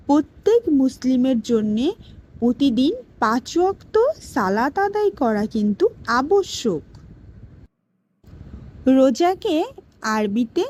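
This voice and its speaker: A woman playing a patient schoolteacher in a children's cartoon, giving a scripted lesson in a deliberate, instructive way. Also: high-pitched, darkish tone, heavily acted. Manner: slow, deliberate, instructive, addressing pupils directly. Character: female schoolteacher patiently teaching Islamic basics to children.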